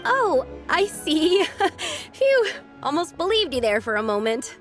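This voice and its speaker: High-voiced, timid young lady. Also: big pitch swings, slow pace, a bit bright, faint music behind, full character voice. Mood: polite, curious. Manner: earnest, polite, flustered. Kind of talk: animated video game voice acting.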